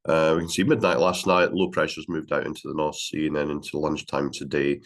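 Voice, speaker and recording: Very deep-voiced congested Englishman. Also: level tone. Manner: halting, many fillers. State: congested with a cold. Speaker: gliding club briefing presenter. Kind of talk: daily weather briefing.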